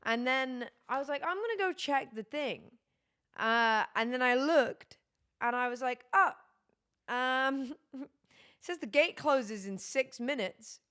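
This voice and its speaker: A woman's voice: high-pitched, lively intonation, takes it slowly, fairly bright tone, clean tone. Brit woman streamer rambling to chat, tired, voice kinda going, lots of ums. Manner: rambling, chatty, many fillers. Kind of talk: casual chat with live chat.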